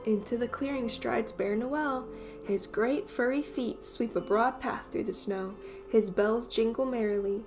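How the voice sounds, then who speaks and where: A woman with a fairly high voice narrates a children's storybook expressively. Music plays behind her as she reads. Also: measured pace. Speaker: storyteller reading a picture book aloud.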